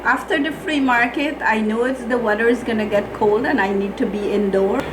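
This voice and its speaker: A woman's voice: a little high, normal speed, noisy background. A middle-aged woman with a Guyanese accent tells her own story in plain-spoken, colloquial interview answers. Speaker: small business owner being interviewed. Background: music.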